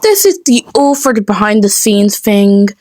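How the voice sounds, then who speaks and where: A woman's voice: medium pitch, very animated, moderate pace, darkish tone, no room sound. A woman chatting casually to her viewers as a video creator.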